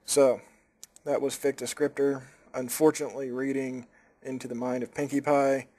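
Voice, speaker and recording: A man with a medium-pitched voice acts as host of an informal group chat. He talks casually as he moves the discussion along and weighs in himself. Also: flat delivery, normal speed, dark tone. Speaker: host of a group reading show.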